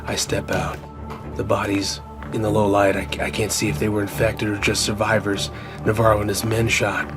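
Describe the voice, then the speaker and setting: A man's voice: lowish voice, little pitch movement, moderate pace. A man narrating a first-person horror story as a scripted radio play. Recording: prominent music under the voice.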